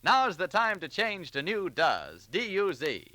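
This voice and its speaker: A man's voice: high voice, huge pitch swings, a little slow, pushed and bright, no room sound. An American man announcing in a polished 1940s radio advertising style.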